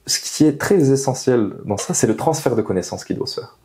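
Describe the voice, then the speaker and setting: A man's voice: mid-range voice, animated, brisk, a little mellow. A young man being interviewed, explaining his views earnestly and emphatically, repeating key phrases, on a lapel mic indoors. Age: young adult. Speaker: interviewed engineer. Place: small meeting room, indoors. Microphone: clip-on lapel mic.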